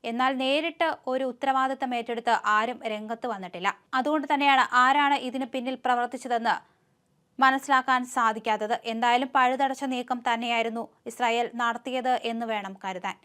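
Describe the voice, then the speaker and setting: A woman's voice: on the high side, fairly quick, fairly bright tone, clear, resonant tone. A woman reading scripted news narration as a presenter.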